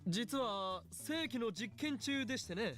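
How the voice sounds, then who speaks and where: An actor playing a pompous young mad scientist in a visual novel game, declaiming theatrically. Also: male voice, very high voice, animated, moderate pace, a bit bright. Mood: grandiose. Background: game music.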